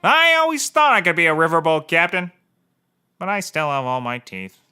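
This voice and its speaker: An actor playing a hapless, sentimental private investigator in a comedy audio drama, earnest and melodramatic. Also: male voice, a little high, huge pitch swings, moderate pace, over music.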